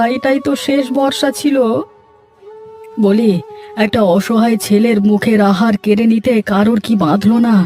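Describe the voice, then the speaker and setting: A man's voice: extremely high pitch, a little mellow. A man voicing an aggrieved villager in a melodramatic cartoon moral tale, emphatic and lamenting.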